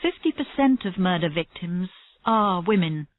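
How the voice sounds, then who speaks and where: A woman's voice: fairly low pitch, expressive, moderate pace, natural voice. A woman reading a storybook, playing a tired, proud woman detective with a dry, understated manner. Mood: dry, resentful. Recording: roomy, slightly dull lo-fi audio.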